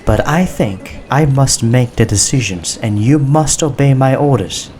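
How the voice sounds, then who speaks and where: A man voicing a firm, commanding female Prime Minister in an audiobook, speaking with measured authority. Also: mid-range voice, darkish tone, faint music behind, pitched above the performer's own voice, natural voice. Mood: cold, stern. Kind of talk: audiobook character dialogue.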